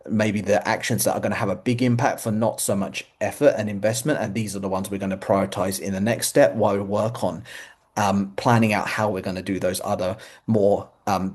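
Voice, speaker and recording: A man's voice: fairly low pitch, darkish tone, slightly rough. A man presenting in a webinar, explaining step by step in an informal way with imagined examples.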